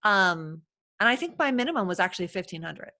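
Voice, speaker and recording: A woman in her thirties talks casually over a video call, her voice bright and forward. She chats loosely, full of fillers and quick asides, at an ordinary middle pitch. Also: big pitch swings. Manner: chatty, laughing, lots of fillers. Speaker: host. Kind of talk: casual live-stream chat.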